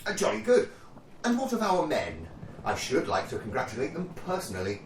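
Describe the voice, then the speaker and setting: A man's voice: a little high, huge pitch swings, fairly quick. Guy acting posh british army captain, pompous and jolly, very up and down, roomy sound. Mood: jolly, brisk. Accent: British, upper-class. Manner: plummy, hesitant, stiff-upper-lip politeness. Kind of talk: radio play acting. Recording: roomy, distant mic, faint noise.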